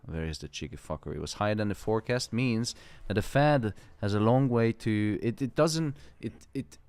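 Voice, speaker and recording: A man's voice: lowish voice, lively intonation, moderate pace, darkish tone, clear, resonant tone, dry recording. A man hosting a live trading stream, chatting casually, swearing freely and explaining the market at length.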